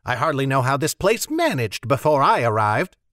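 A man voicing a boastful, eager young male servant who chatters brightly. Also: mid-range voice, very animated, moderate pace, dry recording, clean studio sound. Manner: bright, self-satisfied chatter.

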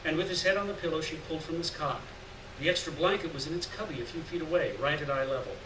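A middle-aged man reads fiction aloud to the room in a deliberate, dramatic way. His voice sits a little high. The recording is echoey and somewhat distant, with clear noise. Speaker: reader of short fiction. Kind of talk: live literary story reading, scripted narration. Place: indoors at a lectern in a gallery room. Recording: roomy, distant, noisy live room recording.

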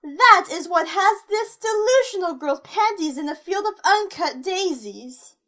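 A brash, flirty female monster in a fan audio drama, played by a woman. She talks in a teasing, provocative drawl, in a very high register. The voice sounds distinct and unusual. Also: animated, unhurried, sharp tone, heavily acted. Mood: sassy.

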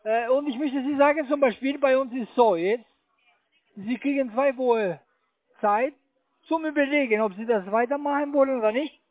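A very high-voiced man with a foreign accent works through a sales call in halting, fumbling phrases. He is not a native speaker of the language. The sound is a tinny, narrow phone line. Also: dry recording. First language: not German. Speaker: telephone investment salesman.

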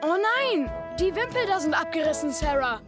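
A woman's voice: high-pitched, sing-song, normal speed, over music. A woman voicing an eager, helpful little boy in a children's cartoon. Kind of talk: animated children's cartoon dubbing.

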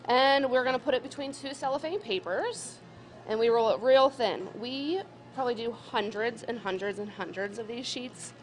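A woman's voice: a little high, normal speed. A woman baker in a bakery kitchen explaining how cookies are made, friendly and explanatory. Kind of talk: explaining baking on live TV.

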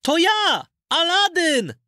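A man voicing a bold young male fairy-tale hero, calling out in a high, urgent, eager way. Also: big pitch swings, takes it slowly, sharp tone, no echo, studio quality, exaggerated voice.

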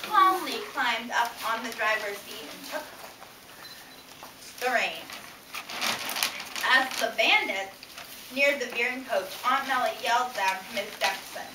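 A woman's voice: a little high, animated, a little slow, pauses often. A woman narrating a western tall tale aloud as a live storyteller for a puppet play. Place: school or library room with audience. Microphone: far camera. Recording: roomy, distant camera recording with loud room noise. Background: audience and room noise.

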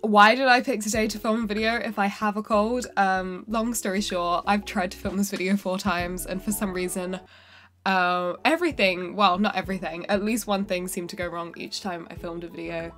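A young woman with a cold talks into the camera in her bedroom, her voice nasal and stuffy. She rambles with lots of ums and self-corrections. Soft music plays faintly behind her. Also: medium pitch, steady tone, moderate pace. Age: 20s. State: has a cold, sounds nasal. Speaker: singer-songwriter and vlogger. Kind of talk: casual talking-to-camera vlog. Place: bedroom indoors.

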